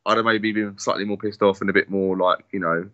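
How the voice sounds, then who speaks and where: A man's voice: fairly low pitch, fairly even intonation, a little fast, pushed and bright, no room sound, lo-fi sound. A man from England co-hosting a casual football podcast, rambling and restarting his sentences. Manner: rambling, full of fillers and restarts. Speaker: podcast co-host.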